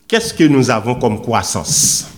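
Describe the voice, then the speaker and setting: A man preaching a sermon in an oratorical, emphatic manner, with deliberate pauses and repeated phrases. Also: medium pitch, sing-song, faint music behind. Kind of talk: sermon with scripture readings and rhetorical questions.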